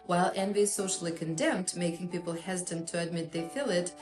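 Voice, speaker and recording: A woman's voice: on the low side, fairly even intonation, fast. A woman therapist with a Russian accent gives an earnest, explanatory talk, with frequent fillers. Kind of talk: educational talk to camera. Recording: echoey room with faint music.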